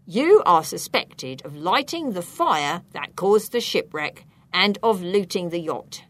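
A woman's voice: on the low side, big pitch swings, slow pace, a bit bright, heavily acted. A woman voicing a stern, thin policeman in a storybook, speaking with formal, firm authority as he interrogates. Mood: stern, accusing.

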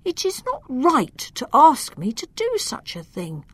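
A woman voicing a timid, easily frightened young male knight in a storybook, high and trembling. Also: huge pitch swings, a little slow, breathy edge, dry recording, heavily acted. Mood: fretful.

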